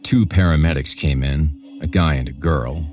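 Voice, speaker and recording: A man's voice: extremely low pitch, moderate pace, a little mellow. A man reading a scripted horror story as a podcast narrator, with music behind him.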